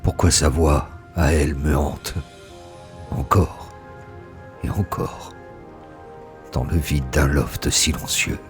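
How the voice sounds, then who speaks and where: An actor playing a solitary, brooding detective who archives AI voices, speaking in a grave, measured, introspective tone. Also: male voice, a bass voice, fairly even intonation, faint music behind. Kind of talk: immersive sci-fi audio drama.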